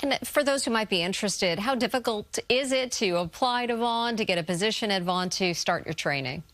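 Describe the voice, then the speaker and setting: A woman's voice: medium pitch, expressive, a little fast, fairly bright tone. An American woman working as a news anchor, putting questions to a guest in broadcast interview style.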